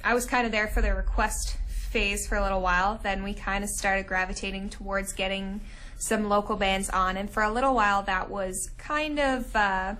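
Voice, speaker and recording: A woman's voice: medium pitch, fairly even intonation, moderate pace, pushed and bright. A young woman, a radio show co-host, speaking casually in a studio interview, hedging with "kinda" and "I guess". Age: young adult.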